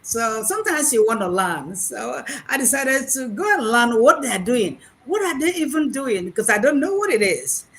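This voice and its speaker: A woman in her sixties with a Nigerian accent, giving a chatty, halting speech over a video call at an online graduation. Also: fairly high pitch. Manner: chatty and animated, restarts and repeats words, hesitant fillers. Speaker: graduating program participant, retired math lecturer.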